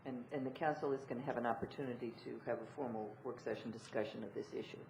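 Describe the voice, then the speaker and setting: American guy chairing a civic meeting, kinda halting, average pitch, roomy echo. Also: steady tone. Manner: hesitant, halting. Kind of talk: formal public meeting moderation. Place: indoor council meeting room. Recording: roomy, clearly noisy meeting room.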